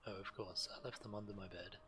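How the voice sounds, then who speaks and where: A man's voice: on the low side, fairly even intonation, fast. A man giving understated, matter-of-fact commentary on a video game and reading quest text aloud. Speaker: game streamer. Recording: game music faintly behind. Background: video game music.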